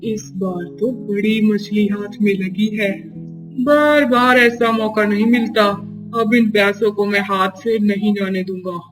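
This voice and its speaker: An actor playing a sly, greedy male merchant in a Hindi moral-story cartoon, smooth and ingratiating in manner. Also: medium pitch, steady tone, unhurried, lightly acted, low-quality recording. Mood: scheming. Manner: ingratiating in company, scheming when alone. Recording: music behind the voice.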